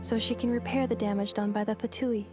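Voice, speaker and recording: An actress voicing a calm, analytical female android in a video game, speaking in flat, precise phrases. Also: a little high, a little fast, close to an everyday voice. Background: game music.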